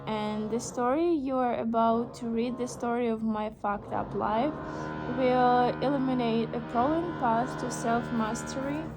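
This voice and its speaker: A young woman whose first language is Russian, reading an English book aloud haltingly and stopping to puzzle over words. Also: fairly high pitch. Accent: Russian, reading English with a slight American tinge. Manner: slow, halting reading with restarts and puzzled asides. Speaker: vlogger.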